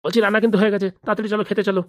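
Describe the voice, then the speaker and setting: A woman voicing a hospitable, grumbling middle-aged landlord in a comedy cartoon, effusive and quick to complain. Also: mid-range voice, little pitch movement, no echo, studio quality, lightly acted. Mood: hospitable, coaxing.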